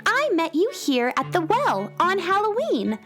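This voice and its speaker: A woman's voice: very high voice, wildly swooping pitch, measured pace, fairly bright tone, soft background music, full character voice. A woman playing a friendly, earnest young space girl in a children's radio drama, speaking with childlike eagerness. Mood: cheerful, eager.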